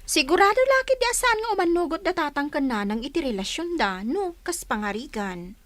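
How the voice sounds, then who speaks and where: A chatty Ilocano big-sister figure, amused and gossipy in a radio drama. Her high voice moves animatedly up and down, recorded dry. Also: very animated, fairly quick, fairly bright tone, dry recording. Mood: surprised, chatty.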